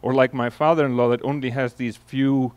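A man in his forties from Iceland with an Icelandic accent, giving a relaxed conference keynote into a handheld mic, telling stories with many fillers and restarts. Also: mid-range voice, a little fast. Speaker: keynote speaker. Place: large conference hall on stage. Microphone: handheld microphone.

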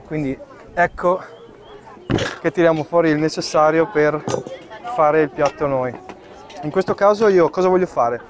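A man in his thirties, a chef giving a live cooking demonstration under a tent in a park, explaining each step as he works, with fillers and pauses, recorded by a camera at a distance. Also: a little high. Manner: explanatory, thinking aloud with fillers while working. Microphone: far camera.

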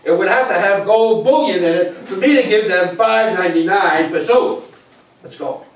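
A man's voice: high voice, normal speed, natural voice. An older man playing a stubborn, thrifty immigrant grandfather in a live comic stage play, with a dry, argumentative way of talking. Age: older, seventies or over. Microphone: distant camera microphone in the audience. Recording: distant, echoey stage recording with noise.

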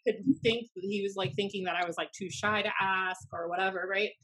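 An American woman in her thirties telling anecdotes casually, in a blunt, animated, sweary way, as a podcast host. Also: mid-range voice, fairly quick, poor audio. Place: small room at home, indoors. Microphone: desk podcast microphone on video call.

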